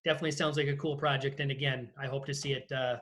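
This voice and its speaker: A man's voice: medium pitch, level tone, rapid-fire, a bit bright. An American man hosting a casual interview over a video call, putting questions to his guest in a conversational way. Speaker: interview host.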